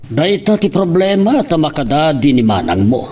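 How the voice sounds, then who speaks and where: A man's voice: on the high side, very animated, normal speed, mellow. A man playing a concerned, kindly grandfather in an Ilocano audio drama. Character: concerned grandfather gently questioning his grandchild. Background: music.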